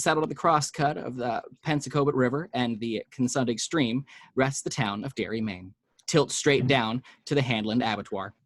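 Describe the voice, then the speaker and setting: A man reads a screenplay's action lines at home with vivid, measured delivery. His voice sits in a middle range and sounds dry and close, with no room echo. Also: medium pitch. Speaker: narrator reading action lines. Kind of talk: screenplay reading.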